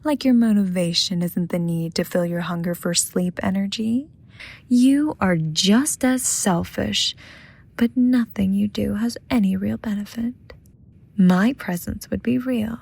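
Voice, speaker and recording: A woman's voice: medium pitch, unhurried, creaky, darkish tone, slightly rough. A woman voicing a seductive, possessive lust demon in a romantic ASMR roleplay, smug and teasing, often dropping into whispers. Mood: scornful.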